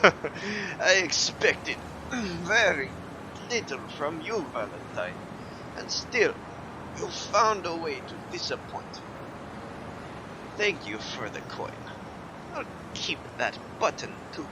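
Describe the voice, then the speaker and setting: An actor playing an arrogant, menacing supernatural villain in an audio drama, speaking with theatrical, mocking disdain. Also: male voice, a little high, sing-song, slow pace, long pauses, background noise. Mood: smug disdain. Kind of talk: scripted audio drama acting.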